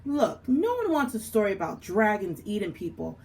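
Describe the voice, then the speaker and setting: A woman's voice: mid-range voice, huge pitch swings, normal speed, creaky, a little mellow, recorded from a distance, pitched below the performer's own voice. A woman voicing a small, sensible, helpful rabbit-like creature in a picture book read-aloud.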